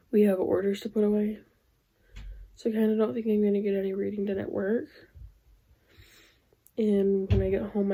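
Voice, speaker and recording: Slow, hoarse young woman vlogger. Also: mid-range voice. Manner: rambling, filler-heavy, soft-spoken. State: hoarse, losing her voice. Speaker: book vlogger. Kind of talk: casual vlog chat.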